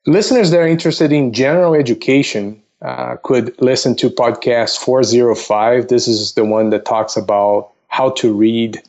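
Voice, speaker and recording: A man of medium pitch is heard through slightly muffled, lo-fi audio. He explains things casually as a podcast guest, methodical and polite, with a halting flow. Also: a little mellow. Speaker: investment counselor, podcast guest.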